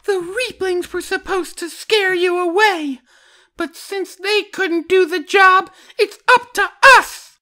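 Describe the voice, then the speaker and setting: A woman's voice: very high voice, slow pace, bright, forceful tone, full character voice. A woman voicing an eerie, falsely innocent little girl spirit with a sugary manner in a game parody. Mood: menacing. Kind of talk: fan-dub game parody acting.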